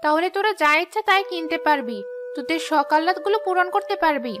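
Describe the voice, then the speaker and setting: Very high-pitched nagging village auntie. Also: female voice, lively intonation, fast talker, music in the background. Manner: nagging, busybody. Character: meddling, gossipy village auntie, easily offended. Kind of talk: cartoon dialogue.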